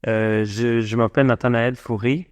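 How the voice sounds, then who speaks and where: A man in his forties who grew up in South Africa and speaks French as a second language, answering interview questions slowly with many fillers and restarts. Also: medium pitch, fairly even intonation. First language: not French. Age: 40s. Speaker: guest entrepreneur.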